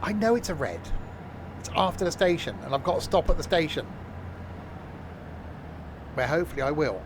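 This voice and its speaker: A man's voice: a little high, big pitch swings, moderate pace, background noise. A man streaming a train game, chatting casually and joking with live viewers, rambling with frequent self-corrections. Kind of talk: casual gameplay commentary.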